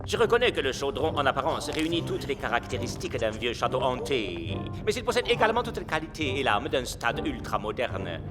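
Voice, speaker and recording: An actor playing a theatrical coach of a spooky castle stadium, telling things in a grave, drawn-out, ominous way in French cartoon dubbing. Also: male voice, medium pitch, big pitch swings, music in the background, lightly acted. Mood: grandly welcoming.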